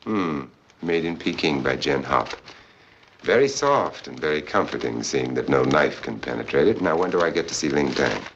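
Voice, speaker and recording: An actor playing a wry, world-weary adventurer for hire who drinks hard, speaking in a theatrical, sardonic and lyrical way in an old film. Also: male voice, extremely low pitch, expressive, normal speed, a bit bright, slight background noise, exaggerated voice. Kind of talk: old film acting with poetic first-person narration. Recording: muffled old film soundtrack, faint music.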